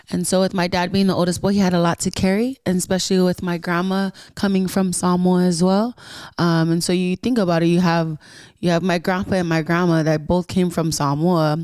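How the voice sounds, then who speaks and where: A woman from Southern California, a musician guest on a podcast, talking earnestly and at length, often pausing on ums and rising into animated emphasis. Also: lowish voice, no echo, studio quality. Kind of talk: casual podcast interview.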